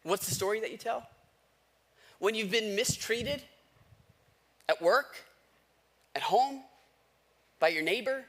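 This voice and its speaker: An American man delivers a sermon in a high, bright voice with a forceful edge. He speaks conversationally as a pastor would, leaning on repeated phrases and rhetorical questions for emphasis. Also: expressive, slow, long pauses.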